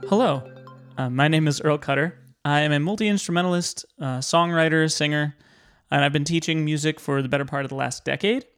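A man, a music teacher and guest, speaking in a measured way with frequent "uh" fillers as he explains his work. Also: fairly high pitch, fairly bright tone, clean tone. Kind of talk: prepared guest introduction.

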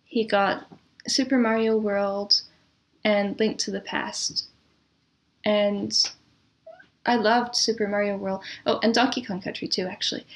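A woman speaking as an interview guest in casual, reminiscing conversation, with a thoughtful, measured way of talking. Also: mid-range voice, fairly even intonation. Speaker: interview guest, composer and audio drama producer.

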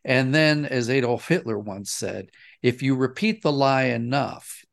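Earnest male guest with creaky voice. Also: medium pitch, animated, measured pace. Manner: earnest, emphatic, explanatory. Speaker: interview guest, audio dramatist. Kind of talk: reflective interview talk.

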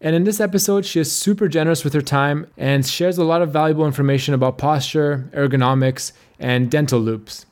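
A man's voice: on the high side, a little fast, few pauses, a little mellow. A young man hosting a dental podcast, reading a friendly intro then chatting casually with frequent fillers. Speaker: podcast host and dentist. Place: Australia.

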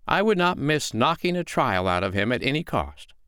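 A man voicing a calm, sly foster mother in a storybook, with a lilting, mock-innocent, needling way of talking. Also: medium pitch, normal speed, clean tone, no echo, studio quality, voice pushed up. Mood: calm, teasing.

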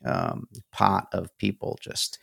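Gravelly, low-pitched New man. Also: level tone, slow, fairly bright tone, dry recording, studio quality. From New York. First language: Greek. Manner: rambling, many fillers and restarts. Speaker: guest. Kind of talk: casual conversation.

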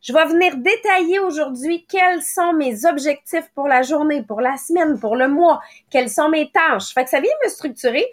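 A woman in her late thirties with a Quebec French accent, hosting a motivational morning podcast in a quick, conversational way. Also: high voice. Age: late 30s. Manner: quick, conversational, acts out little quoted thoughts. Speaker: podcast host.

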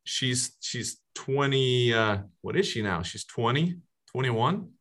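Man, podcast host, casual chat, halting restarts, bright tone. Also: mid-range voice, expressive. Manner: halting, many restarts and fillers.

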